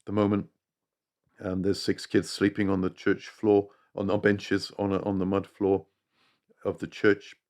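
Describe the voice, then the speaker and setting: Fifties male, deep and monotone, unscripted charity appeal, halting with many restarts and throat clearing. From Uganda, raised in East Africa then UK. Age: 50s. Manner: hesitant, many restarts and repeated words. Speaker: charity project presenter.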